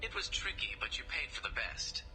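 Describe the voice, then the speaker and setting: A man's voice: medium pitch, sing-song, a little fast, pushed and bright, rough recording. An actor playing a male hired data expert in a video game, casual and cocky yet uneasy, heard on a recorded call. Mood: wary confidence. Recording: recorded call with music behind.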